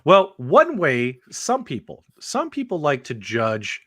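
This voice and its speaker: A man's voice: a little high, slow, no echo, studio quality. A man hosting a live video game podcast, talking with energy and hyping up the audience. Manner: energetic, upbeat, hypes the audience. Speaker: host.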